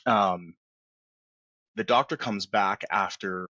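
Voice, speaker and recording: A man's voice: lowish voice, slow, no room sound. Man in his forties, loose rambling storyteller, lo-fi muffled sound. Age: 40s. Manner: relaxed, rambling, many fillers and restarts. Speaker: guest. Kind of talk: candid storytelling in a podcast interview.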